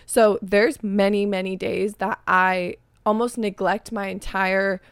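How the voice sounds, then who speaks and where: A young woman chats through her solo podcast episode, her voice raspy as she is losing it but still even and smooth at the core. She rambles in a frank, filler-heavy way, and the sound is dry and close with no room around it. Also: mid-range voice, dry recording. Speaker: podcast host. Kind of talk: casual solo podcast chat.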